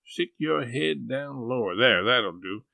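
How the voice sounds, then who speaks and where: A man voicing an excitable, fussy older farm woman who gushes and frets, in a gravelly voice. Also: slow, no echo, exaggerated voice. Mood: hushed, scheming. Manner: breathless, gushing, high-strung.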